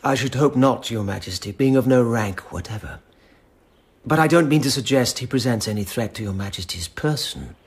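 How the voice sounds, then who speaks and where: An actor playing a proud, cultured court lord in a radio drama, speaking in a formal, restrained and disdainful way. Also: male voice, mid-range voice, lively intonation, moderate pace, breathy edge. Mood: deferential, wary.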